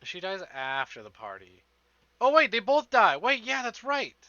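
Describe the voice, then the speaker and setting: A man giving casual, profane livestream commentary on a game, expressive and often talking to himself. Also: high-pitched, moderate pace, no room sound, lo-fi sound. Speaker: streamer playing a visual novel. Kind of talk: casual livestream commentary with dramatic read-aloud of game text. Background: game music.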